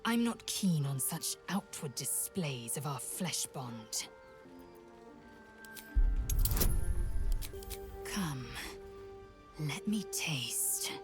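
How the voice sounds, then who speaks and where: Fierce alien warrior woman from a game, low n slow, whispery intense lover, music behind. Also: lively intonation. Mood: intimate, hungry. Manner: breathy whispering, formal solemn intense delivery. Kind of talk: video game voice acting.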